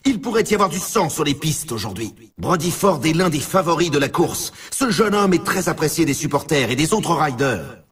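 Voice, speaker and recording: High, husky, hyped race announcer. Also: male voice, lively intonation, moderate pace, few pauses, a bit bright, far from the mic, exaggerated voice. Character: hyped male snowboard race announcer, upbeat showman. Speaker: game announcer. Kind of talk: excited sports commentary.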